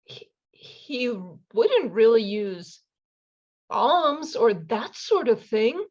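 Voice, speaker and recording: A woman's voice: fairly high pitch, very animated, extremely slow, a little mellow, muffled recording, exaggerated voice. A woman reading the part of an earnest young lady in a radio play, asking questions politely and hesitantly over a video call. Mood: curious, concerned.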